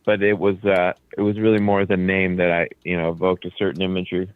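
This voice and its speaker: A man's voice: deep voice, level tone, fast talker, clear, resonant tone, no room sound. A man whose first language is Croatian, a band leader chatting as a radio guest and explaining things at length with easy fillers. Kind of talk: casual radio interview. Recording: dry, phone-like narrow sound.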